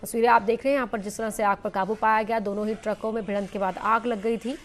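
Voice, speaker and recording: A woman's voice: mid-range voice, fairly even intonation, fast, a little hiss or hum. A woman news anchor reading a scripted news bulletin.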